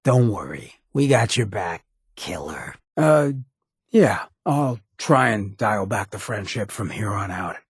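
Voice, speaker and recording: A swaggering American ghoul from a video game, irreverent and cocky. The voice is badly shredded and gravelly, sitting low, and recorded very dry. He talks in a wry, streetwise drawl, like a loyal rogue. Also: expressive, takes it slowly, no room sound, heavily acted. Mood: warm, teasing. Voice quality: raspy, gravelly. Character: roguish drug-loving irradiated ghoul, swaggering, irreverent, loyal. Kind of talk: video game companion dialogue.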